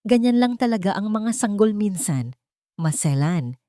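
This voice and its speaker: A woman's voice: medium pitch, animated, unhurried, mellow, no echo, clean studio sound, pitched above the performer's own voice, natural voice. Woman as a curt, dismissive rich mistress. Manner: curt, accusing. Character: cold, dismissive wealthy mistress of the house.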